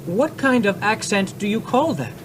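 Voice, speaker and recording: A man's voice: high-pitched, animated, moderate pace, a little hiss or hum. A man playing an inquisitive Greek visitor on a road trip in a scripted English-learning radio drama. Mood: attentive. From Greece. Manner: inquisitive, simply worded.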